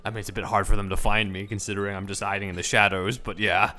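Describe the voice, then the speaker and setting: A man's voice: lowish voice, little pitch movement, quick pace, pushed and bright. A man voicing a touchy young male vigilante in an improvised comedy sketch, defensive and earnest.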